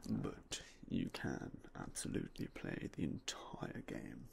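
Whispering man, gravelly low voice. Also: big pitch swings, a little slow, slight background noise. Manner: quiet, whispery, polite shopkeeper patter. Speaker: game shop clerk in roleplay. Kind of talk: ASMR roleplay, soft-spoken whisper. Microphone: binaural mic, close.

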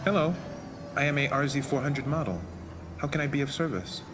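A man's voice: mid-range voice, a little fast, over music, close to an everyday voice. A man playing a polite, obedient service android from a game, speaking in courteous formulaic phrases. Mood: polite, neutral. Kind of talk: scripted product greeting.

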